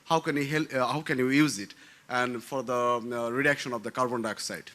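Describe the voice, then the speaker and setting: A man's voice: mid-range voice, fairly even intonation, fairly quick, bright, forceful tone. A man from Bangladesh, speaking from the audience at a conference, putting a question to the panel. He is hesitant, full of fillers, and keeps rephrasing himself. His voice sits in the middle range, in a conference hall. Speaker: audience member asking a question.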